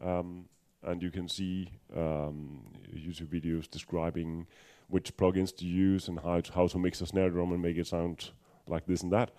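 Low, mellow-voiced Danish man presenting. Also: flat delivery, moderate pace, few pauses. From Denmark. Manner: hesitant, many ums and restarts, dry humor. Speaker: conference speaker. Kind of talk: technical conference talk.

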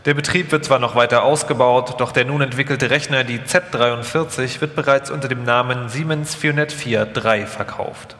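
A man's voice: medium pitch, steady tone, normal speed, hardly any pauses, fairly bright tone. A man reading scripted documentary narration live, in a formal, factual manner. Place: large hall, live stage reading. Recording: echoey hall, long reverb.

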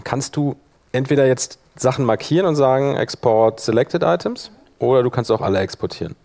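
Male workshop instructor, mid-pitched, informal and hands-on, thinks aloud while demonstrating software. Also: brisk, gravelly.

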